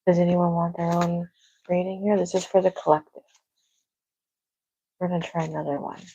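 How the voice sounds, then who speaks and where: A soft-spoken woman reading tarot on a casual livestream at home, talking quietly to herself. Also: on the low side, flat delivery, moderate pace, slightly rough, dull audio. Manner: talks to herself, quiet and musing. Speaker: tarot reader. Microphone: clip-on microphone.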